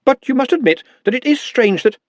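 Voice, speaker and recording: A man voicing a fussy, flustered young wizard academic in an audiobook, with a husky voice. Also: very high-pitched, lively intonation, brisk, no echo, voice pushed up. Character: excitable high-strung young male wizard academic.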